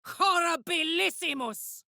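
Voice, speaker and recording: A woman's voice: very high-pitched, slow, vocal fry, bright, forceful tone, no room sound, clean studio sound, heavily acted. An actress voicing a brash, big-hearted young female warrior in a video game, blunt and swaggering, tough yet playful and emotionally open. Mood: theatrical incanting. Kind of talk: video game character voice lines.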